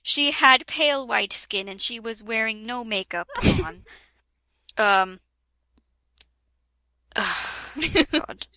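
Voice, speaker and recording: A woman's voice: fairly high pitch, animated, drawn-out words, long pauses, bright, forceful tone, no room sound. A woman reading a parody fan fiction aloud with a friend, giggling and breaking into laughter and sarcastic asides. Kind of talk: comedic dramatic reading with a friend.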